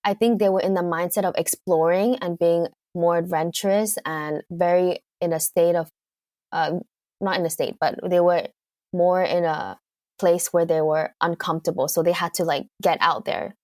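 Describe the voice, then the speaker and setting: A woman with a Canadian accent, a guest in a casual interview. She speaks in a lowish voice, pausing with fillers as she thinks aloud. The recording is dry and close. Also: fairly even intonation, darkish tone, dry recording. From United Kingdom. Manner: thoughtful, hesitant, frequent fillers.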